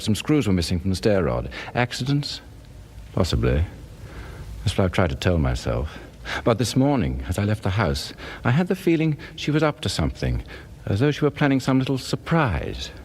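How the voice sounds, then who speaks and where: Anxious British professor, low voice, very animated intonation, formal, suspicious, radio drama narration. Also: male voice. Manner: formal, brooding, swinging in emphasis. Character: anxious, suspicious professor narrating his own unravelling marriage. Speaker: narrator and lead actor.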